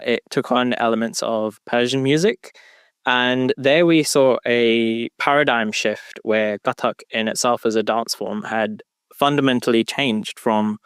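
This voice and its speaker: A young man in a relaxed student podcast chat. He talks haltingly, filling gaps with ums. His voice is smooth, recorded close and dry. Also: mid-range voice, dry recording. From England. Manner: hesitant, many ums. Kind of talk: casual discussion.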